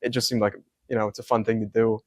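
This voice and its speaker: A young man from Scotland, a hat maker, answering interview questions in a soft-spoken way, explaining his craft in detail with frequent ums. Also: lowish voice, flat delivery, fast talker, dry recording, clean studio sound. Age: young adult. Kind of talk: casual interview.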